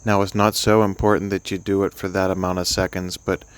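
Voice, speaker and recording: A man leading a guided sleep meditation, speaking gently and soothingly in an unscripted, halting way with long pauses. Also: deep voice, flat delivery, no room sound.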